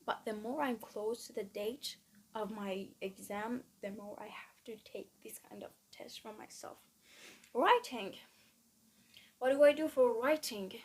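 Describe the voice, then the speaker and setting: Slow, hesitant woman. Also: on the high side. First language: Persian. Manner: hesitant, many fillers and restarts. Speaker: exam-prep vlogger. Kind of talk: casual study-plan talk.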